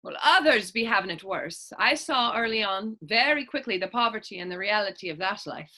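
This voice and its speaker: Bright-voiced plucky Irish orphan girl, acted by a woman. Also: medium pitch, animated, fairly quick. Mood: stoic, reflective. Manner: storytelling in lilting old-fashioned Irish idiom. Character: plucky orphaned Irish teenage actress, tough and proud. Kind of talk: stage readers theatre acting in dialect.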